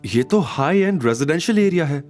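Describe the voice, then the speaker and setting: A man's voice: a little high, expressive, moderate pace, darkish tone, faint music behind, voice pushed up, natural voice. A man voicing a humble, doubtful young woman in an audio drama, hesitant and earnest. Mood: puzzled, anxious. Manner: hesitant, questioning.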